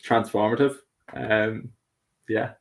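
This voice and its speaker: A man's voice: lowish voice, fairly even intonation, slow, gravelly. A man from Ireland with an Irish accent, answering interview questions casually, hesitant and full of fillers, laughing easily. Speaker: guest.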